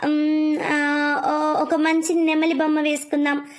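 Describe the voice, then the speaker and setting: A woman voicing playful, chatty young twin girls in an animated children's story, with a childlike, pleading and teasing manner. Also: high voice, a little slow. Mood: excited. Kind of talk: animated moral story dubbing.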